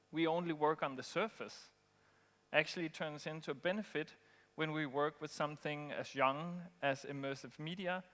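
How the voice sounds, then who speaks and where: A man from Denmark, giving a relaxed conference talk with frequent ums and pauses. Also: on the high side. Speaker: conference speaker. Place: conference hall. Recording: long hall reverb.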